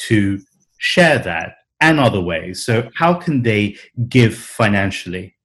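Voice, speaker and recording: Man, interviewer, measured and formal, low-pitched. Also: sing-song, a bit bright. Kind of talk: interview questions.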